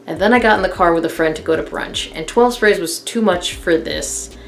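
A woman's voice: on the low side, fairly quick, creaky, faint music behind. A woman in her thirties casually reviewing a fragrance to camera from her living room, candid and chatty with many fillers. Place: living room in front of a couch, indoors.

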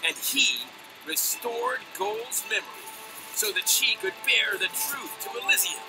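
A man's voice: extremely high pitch, animated, a little slow, over music, poor audio. A man voicing a boastful young adventurer in a cartoon game, telling his tale with theatrical self-importance. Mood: grandly dramatic. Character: brash, boastful young male adventurer narrating his own heroic tale.

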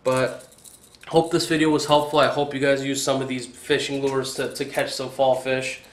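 A young man hosting a casual fishing video indoors, talking in a relaxed, hesitant way with frequent fillers, recorded on a camera mic at a counter. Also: mid-range voice, moderate pace, slight background noise. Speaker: fishing channel host. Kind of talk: casual tackle review. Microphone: camera mic on tripod.

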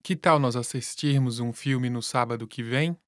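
A man reading scripted lesson dialogue in a plain, relaxed way. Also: medium pitch, normal speed, no room sound, studio quality, soft background music. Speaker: language lesson voice actor.

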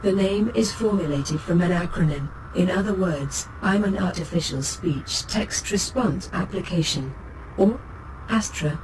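An actor voicing a calm, knowledgeable artificial ship's computer, giving scripted encyclopedic narration in a measured, formal, precise manner. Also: medium pitch, lightly acted, low-quality recording. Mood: calm, informative. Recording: clear noise, spaceship ambience behind.

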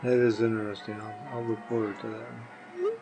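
An older man chats casually as a guest, answering questions in a medium-pitched voice. Faint music plays behind him. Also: fairly even intonation, normal speed, vocal fry. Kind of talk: casual chat.

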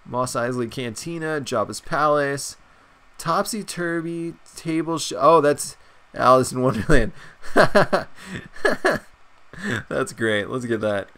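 A young man with a stuffed-up nose, chatting excitedly and rambling through a video game's shop menus as a gaming channel host. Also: medium pitch, takes it slowly, pauses often, clear, resonant tone. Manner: excited, rambling, many fillers. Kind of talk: casual gameplay commentary.

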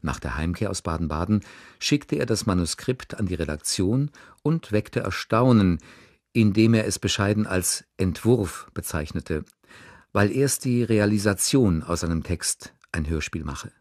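A man's voice: sits low, lively intonation, a little slow, darkish tone, dry recording, clean studio sound. A man presenting a radio broadcast, reading a scripted introduction in a formal, informative way.

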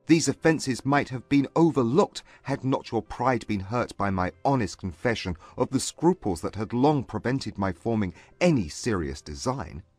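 An actor playing a proud, wounded gentleman suitor in a dramatised audiobook, speaking with formal, emphatic diction. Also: medium pitch, sing-song, normal speed, few pauses, dry recording. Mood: indignant.

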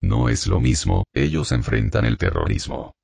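A man playing an older shopkeeper father, stern but protective, with a gravelly, husky voice in an amateur drama dub. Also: extremely low pitch, wildly swooping pitch, moderate pace, darkish tone, dry recording, exaggerated voice. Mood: stern, disapproving. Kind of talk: amateur Spanish dub acting.

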